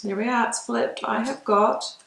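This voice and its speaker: A woman from New Zealand with a mixed New Zealand accent carrying British and Australian traces, giving a soft, reflective tarot reading to camera from a small home studio, with frequent ums. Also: on the high side, fairly even intonation, moderate pace, gravelly, roomy sound. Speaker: tarot reader.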